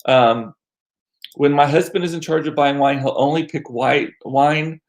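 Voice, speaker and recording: A middle-aged American man chatting casually as a livestream host, rambling along with self-deprecating asides and animated, repeated words for emphasis. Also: on the high side, a little slow. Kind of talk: casual chat to live viewers. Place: small home room used as studio.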